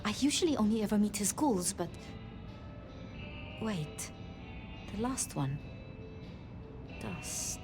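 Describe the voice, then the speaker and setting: An actress voicing a gentle, anxious, idealistic female vampire in a video game, with a hesitant, earnest manner. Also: medium pitch, very animated, takes it slowly, pauses often, over music. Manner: hesitant, earnest, flustered, polite.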